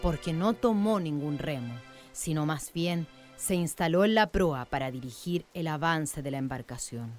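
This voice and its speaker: A woman narrates a radio play as a literary storyteller. Her voice is very low for a woman. Music runs clearly beneath the narration. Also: animated, normal speed, few pauses, a little mellow. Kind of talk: scripted radio drama narration.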